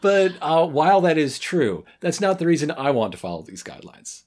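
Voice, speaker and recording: Animated man bantering on a podcast. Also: a little high, a little fast. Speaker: podcast co-host. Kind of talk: casual bantering podcast chat.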